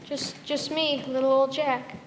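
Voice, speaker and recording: A woman's voice: high voice, flat delivery, measured pace, echoey room, in a large hall, heavy background noise. A woman voicing a hungry, cheerfully naive young boy in a cartoon, talking in an eager, folksy way. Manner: eager, folksy boyish talk. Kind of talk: cartoon voice acting.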